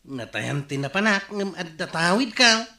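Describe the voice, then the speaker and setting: Boastful villager, bright and roomy. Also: male voice, sits high, big pitch swings, normal speed, heavily acted. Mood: gleeful, boastful. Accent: Ilocano. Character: boastful lucky male villager flaunting inherited money. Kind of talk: Ilocano comedy radio drama acting.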